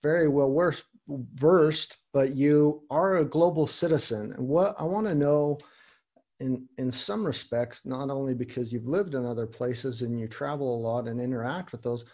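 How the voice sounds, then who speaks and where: A man's voice: medium pitch, moderate pace, warm tone. A man hosting a podcast, asking long, winding questions with fillers. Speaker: podcast host. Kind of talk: interview questions.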